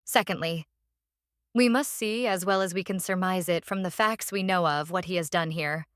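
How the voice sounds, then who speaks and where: A woman playing an earnest, devoted young wife reading her journal aloud in a dramatic audiobook, with careful, deliberate reasoning. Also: on the low side, a bit bright, smooth, no echo, clean studio sound, lightly acted. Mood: analytical, deliberate. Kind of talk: dramatic audiobook narration of a diary.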